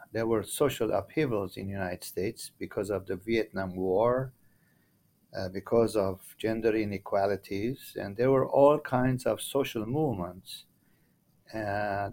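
Slow-spoken man in his seventies. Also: lowish voice, a little mellow. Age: 70s. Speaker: podcast guest. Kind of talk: reflective personal recollection.

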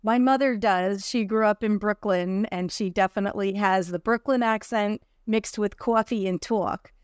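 A woman's voice: mid-range voice, normal speed, smooth, no echo, studio quality. A middle-aged woman from New Jersey, a podcast guest who tells her story in a chatty, easy way and laughs often. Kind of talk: casual interview.

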